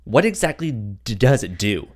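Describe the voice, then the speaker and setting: A man co-hosting a podcast, discussing a TV show casually in a halting way as he feels out his words. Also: medium pitch, big pitch swings. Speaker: podcast co-host.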